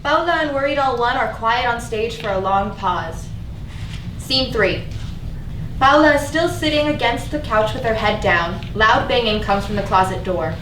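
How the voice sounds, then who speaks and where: A woman's voice: fairly high pitch, lively intonation, moderate pace. A woman reading stage directions as scripted narration at a staged reading. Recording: echoey room, distant mic, clear noise.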